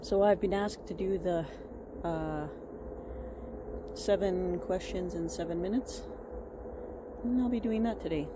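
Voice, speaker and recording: A woman's voice: lowish voice, measured pace, long pauses. A middle-aged Canadian woman whose first language is Quebec French, chatting casually to the camera as a vlogger outdoors. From Quebec, Canada, raised partly in Ontario. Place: outdoors in a field, yard and open shelter. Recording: outdoor ambience, clear background noise.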